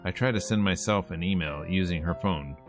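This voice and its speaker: Low-pitched man reading a game aloud. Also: brisk, soft background music. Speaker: streamer reading a visual novel aloud. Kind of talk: game let's-play, reading narration aloud.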